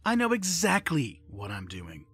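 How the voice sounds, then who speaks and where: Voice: medium pitch, wildly swooping pitch, measured pace, fairly bright tone, breathy edge, no room sound, studio quality, full character voice. A performer voicing a scheming male villain who has taken over a child's body, speaking in a mocking, theatrical way in a fan audio drama.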